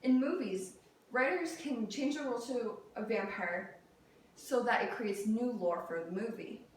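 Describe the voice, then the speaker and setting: A woman's voice: on the high side, measured pace. A young adult woman delivering a prepared persuasive speech as a student, reading out structured points and sometimes stumbling over words. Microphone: distant camera or room mic. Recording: very echoey room, distant mic, faint noise.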